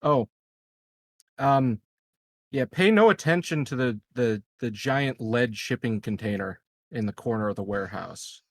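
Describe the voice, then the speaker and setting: A man with a mid-pitched voice plays in a tabletop game. He speaks casually and hesitantly, searching for his words, in very dry audio. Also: animated, a little slow, no echo. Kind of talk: casual improvised game talk.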